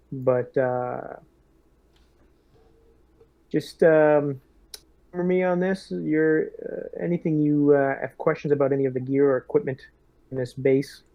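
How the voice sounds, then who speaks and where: A man talks through a tabletop roleplaying session in a casual, halting way. His pitch moves very little. The sound is dull and roomy, like voice chat from an untreated room. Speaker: player in a tabletop roleplaying game. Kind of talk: casual in-character and table talk during a tabletop game.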